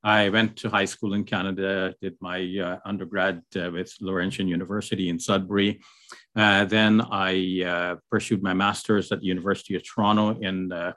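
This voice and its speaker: An older man from Guyana, a guest scholar, answering interview questions in a measured, hesitant way with many fillers. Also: sits low, flat delivery, a bit bright.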